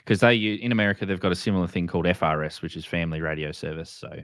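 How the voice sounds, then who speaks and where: A man with an Australian accent hosting a casual explainer, speaking informally and haltingly, often repeating himself. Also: low-pitched. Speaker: host. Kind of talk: casual explainer with screen share.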